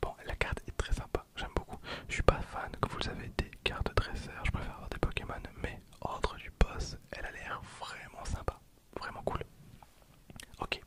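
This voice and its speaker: A man in his twenties speaks entirely in a hushed whisper. He murmurs casual ASMR commentary in an informal, chatty way, keeping his voice soft and breath-led throughout. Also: normal speed. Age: 20s. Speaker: ASMR video creator.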